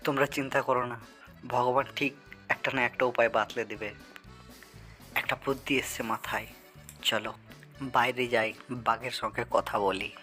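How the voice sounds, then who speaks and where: Man acting bright-voiced, blustering crafty father. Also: medium pitch, steady tone, normal speed, long pauses, soft background music, lightly acted. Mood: scheming. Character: crafty, blustering father guarding his daughter. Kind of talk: Bengali children's folktale cartoon acting.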